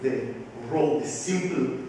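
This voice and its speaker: A man whose first language is Hebrew, explaining glassmaking to an interviewer in halting English, often stopping to search for words. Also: mid-range voice, heavy reverb, big-room sound, recorded from a distance, noisy background. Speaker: glass designer. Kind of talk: explaining his work to an interviewer.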